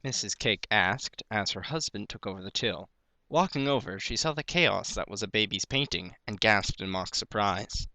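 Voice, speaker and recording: A man's voice: fairly low pitch, expressive, moderate pace, sharp tone, no room sound. A man narrating a piece of fan fiction, reading it aloud as a story.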